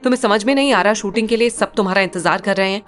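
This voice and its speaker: A woman voicing an irritable, impatient male film director who scolds in fast, loud bursts. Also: mid-range voice, faint music behind, lightly acted. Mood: scolding.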